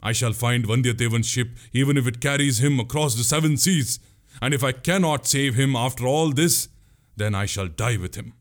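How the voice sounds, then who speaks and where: Casting: male narrator as young storybook prince, mid-pitched, stately, stubborn and in command. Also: normal speed, lightly acted. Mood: defiant. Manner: formal, resolute, archaic wording.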